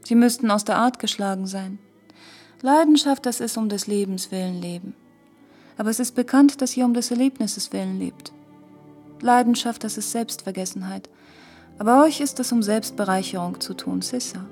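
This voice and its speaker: A woman's voice: medium pitch, moderate pace, dark tone, soft background music, close to an everyday voice. An actress playing a cool, worldly lady who teases haughtily and drops French phrases. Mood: cool, mocking.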